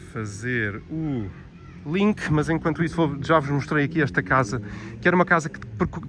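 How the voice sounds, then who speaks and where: A man with a Portuguese accent and a mid-range voice hosts a live walking stream. He talks casually, often pausing and rephrasing himself. Clear outdoor noise and traffic sit behind his voice. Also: medium pitch. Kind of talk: casual live vlog chat. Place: outdoors, walking through a village.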